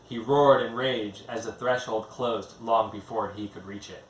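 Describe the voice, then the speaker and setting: A man's voice: fairly low pitch, fairly even intonation, unhurried. A man reading a fantasy story aloud in a dramatic narrating style. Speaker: author reading own book aloud. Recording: roomy, distant mic, faint background noise.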